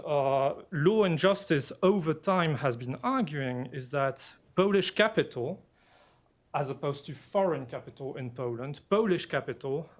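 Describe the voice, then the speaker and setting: A man from Belgium with a French-influenced accent, giving a measured academic panel presentation as a political scientist, pausing often with fillers. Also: medium pitch. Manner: measured and deliberate, with frequent filler pauses.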